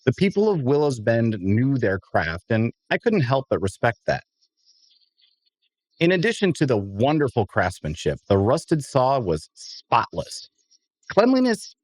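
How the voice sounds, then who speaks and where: A man's voice: mid-range voice, a little mellow, no room sound. A man playing a wry, observant adventurer who narrates his own fantasy story at an audio drama table read.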